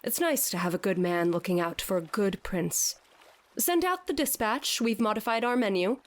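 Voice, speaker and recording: A woman's voice: medium pitch, expressive, moderate pace, lightly acted. An actress playing a dazed, theatrical woman who declaims in a grandiose mock-Shakespearean way in an audio drama. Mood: addled, grandiose. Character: dazed theatrical woman raving as Hamlet to a fish head. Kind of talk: scripted audio drama acting.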